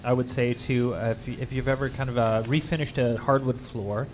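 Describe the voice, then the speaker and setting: A man's voice: mid-range voice, steady tone, a little fast, faint music behind. A man hosting a radio interview, asking casual and curious follow-up questions in an informal, loose way. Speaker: radio host. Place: public fair.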